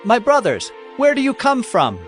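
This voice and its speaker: Man playing Jacob, an earnest, animated traveler. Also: extremely high pitch, normal speed, faint music behind, full character voice. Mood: eager. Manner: earnest, emphatic. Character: Jacob, an earnest, awestruck young male traveler. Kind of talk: dramatized scripture acting.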